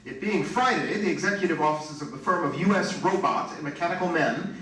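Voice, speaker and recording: Man playing high-pitched, pompous robotics boss, very echoey. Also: lively intonation, brisk, pushed and bright. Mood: jovial, pompous. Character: officious, excitable male head of a robotics firm. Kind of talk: theatrical radio play acting. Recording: very echoey room, distant mic, faint noise.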